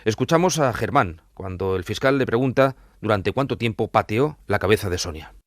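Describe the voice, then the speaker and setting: Dry, lowish male documentary presenter. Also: lively intonation, fairly quick, dry recording. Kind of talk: scripted true-crime documentary narration.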